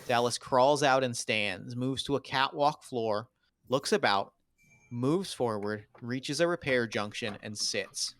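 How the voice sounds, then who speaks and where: A man reads out screenplay stage directions as the narrator of a table read. His pitch sits in the middle of the male range, and the sound is dry, with no room around it. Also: slow pace, a bit bright, dry recording. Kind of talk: scripted narration of screenplay action lines.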